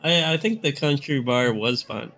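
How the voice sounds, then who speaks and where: A man's voice: mid-range voice, normal speed, pushed and bright. A young man co-hosting a casual podcast, stumbling over his words and giggling as he talks. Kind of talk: casual chat.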